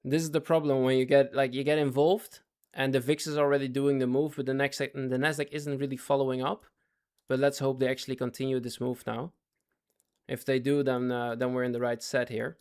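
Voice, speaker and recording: A man's voice: medium pitch, flat delivery, brisk, darkish tone, clear, resonant tone. A man doing casual live commentary on his trades for a chat audience. He is losing his voice, repeats himself and keeps asking viewers if they follow. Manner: talks in fits and starts, repeats himself, asks chat to follow. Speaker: day trading livestreamer.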